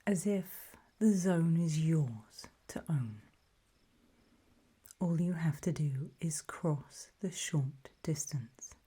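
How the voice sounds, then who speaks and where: A woman voicing a calm, ominous gatekeeper in a hushed, breathy ASMR roleplay, speaking mostly in deliberate whispers with pauses. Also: low-pitched, heavily acted. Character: calm, ominous female gatekeeper briefing travelers. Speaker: ASMR performer.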